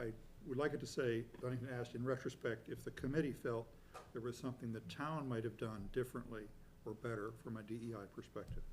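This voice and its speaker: A man on a town selectboard, speaking deliberately in a public meeting and reading proposed wording aloud. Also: mid-range voice, slight background noise, rough recording. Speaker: selectboard member.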